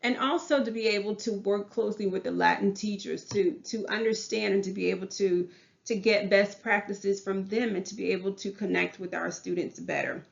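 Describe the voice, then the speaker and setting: A Southern-accented woman teacher talks through her class presentation in an earnest, restarting way, her voice slightly gravelly at mid pitch. The recording sounds dull and lo-fi. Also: steady tone, moderate pace. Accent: Southern US English. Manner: earnest, repeats and restarts phrases.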